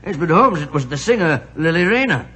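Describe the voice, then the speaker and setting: An actor playing a nervous, loyal doctor sidekick, flustered and easily alarmed, in 1940s radio acting. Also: male voice, on the high side, sing-song, a little fast, a bit bright, slightly rough, full character voice.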